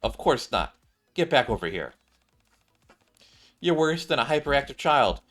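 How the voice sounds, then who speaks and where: A man's voice: medium pitch, big pitch swings, a little slow, fairly bright tone. A weary, level-headed woman from a game story, read by a man, reining in a friend. The delivery is dry, restrained and scolding, with faint music underneath.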